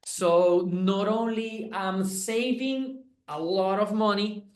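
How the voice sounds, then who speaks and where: Brief: effusive Puerto Rican-accented man, high voice, chatty testimonial with many restarts, echoey room. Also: takes it slowly, darkish tone. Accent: Puerto Rican Spanish. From Puerto Rico. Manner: effusive, repeats words and restarts. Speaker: chiropractor and clinic owner. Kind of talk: enthusiastic testimonial chat.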